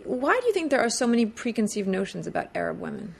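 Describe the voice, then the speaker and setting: A woman's voice: fairly high pitch, sing-song, quick pace, creaky, a little hiss or hum. Polished TV interviewer, woman. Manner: polished intro, then conversational probing questions. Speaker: TV interviewer, host. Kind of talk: news channel interview. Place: TV studio.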